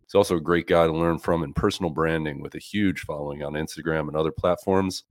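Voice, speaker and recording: A man's voice: rumbling low, steady tone, fast talker. A man in his forties hosting a podcast, upbeat and enthusiastic as he introduces and questions his guest. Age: 40s. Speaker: podcast host.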